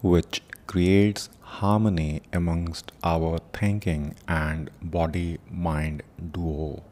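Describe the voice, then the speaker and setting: A man leading a guided meditation in a solemn, deliberate manner, speaking in short phrases with long pauses between them. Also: sits low, flat delivery, a little mellow. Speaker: meditation teacher and podcast host. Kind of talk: guided meditation with prayerful invocation.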